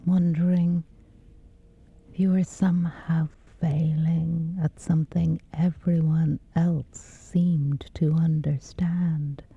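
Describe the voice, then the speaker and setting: A woman softly guiding a scripted sleep meditation in a breathy, gentle, soothing hush with long pauses. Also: sits low, level tone, highs cut off. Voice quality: breathy, whispery soft.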